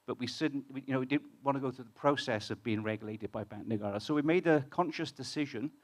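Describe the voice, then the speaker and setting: British male speaker, mid pitch, chatty conference presenter who restarts phrases and talks to the crowd. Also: fast talker. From United Kingdom. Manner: conversational, many restarts, asks the audience questions. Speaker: fintech founder presenting on stage. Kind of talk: conference talk to a live audience. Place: conference hall with audience.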